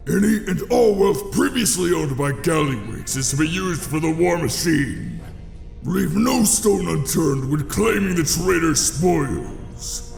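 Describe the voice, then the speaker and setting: A ruthless orc warchief, with a gravelly voice that rises and falls dramatically. He commands with formal, cruel menace in a big echoing hall, with faint music, as in a fantasy audio drama. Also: a little high, exaggerated voice. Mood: commanding. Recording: echoey hall, music behind.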